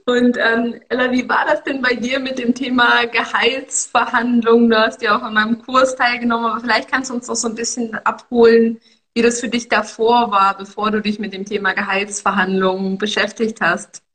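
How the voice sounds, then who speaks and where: Upbeat female podcast host, breathy. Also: on the high side, little pitch movement, moderate pace, fairly bright tone, rough recording. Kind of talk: podcast intro and interviewing.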